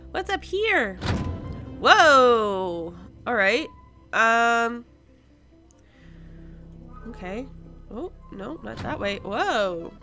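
A woman with a high, bright voice hosts a casual video game playthrough. She thinks aloud at an unhurried pace and breaks into exclamations of surprise. Faint music sits behind her. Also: very animated. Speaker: host of a gaming series. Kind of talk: casual let's play commentary.

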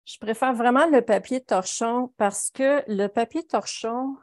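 A woman's voice: fairly high pitch, measured pace. Middle-aged female art instructor, Quebec French accent, conversational live demo on a video call. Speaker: watercolour painting instructor. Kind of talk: live painting demonstration, informal explaining. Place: home studio, indoors.

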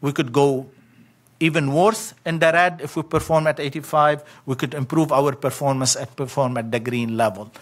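A man's voice: a little high, steady tone. Man presenting data. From Lebanon. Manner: halting, clipped phrases with frequent "uh" fillers. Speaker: conference speaker. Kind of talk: academic slide presentation.

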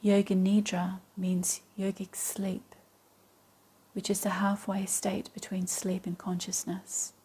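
Soft whispery woman doing guided yoga meditation, mellow and slow, calm with long gaps. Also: medium pitch, poor audio. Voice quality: soft, breathy, partly whispery. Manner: calm, measured, long pauses.

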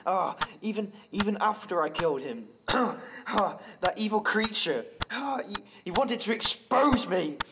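A man performs an agitated, proud murder suspect in a gothic audio drama. His delivery is theatrical and stammering, with lively swings in pitch. There is faint noise behind the voice. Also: measured pace, hardly any pauses. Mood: anguished. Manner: stammering, groaning, theatrical outbursts.

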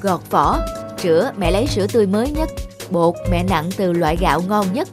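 A woman playing a firm, caring mother in an animated moral tale, speaking in a measured, explanatory, motherly way. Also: fairly low pitch, close to an everyday voice. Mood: gently persuasive. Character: firm, caring mother of two young daughters. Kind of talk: animated cartoon dubbing, clear instructive dialogue. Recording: music behind the voice.

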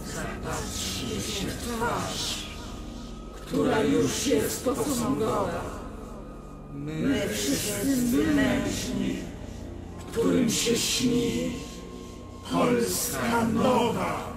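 A man's voice: very high voice, animated, very slow, long pauses, exaggerated voice. An actor playing an exalted visionary man in mourning, declaiming solemn poetry in a radio drama. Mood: solemn exaltation. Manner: incantatory, solemn. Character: exalted, visionary male mourner of a fallen hero. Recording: echoey, distant, loud music behind.

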